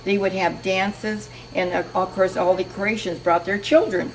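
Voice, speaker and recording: A woman's voice: medium pitch, fairly even intonation, moderate pace, a little hiss or hum, low-quality recording. An older woman from Seattle being interviewed, reminiscing in a chatty, meandering way with frequent restarts. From Seattle, USA.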